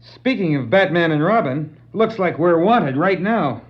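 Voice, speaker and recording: An actor playing an eager, boyish superhero sidekick in a 1960s children's record dramatization. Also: male voice, fairly high pitch, animated, measured pace. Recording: muffled 1960s vinyl record.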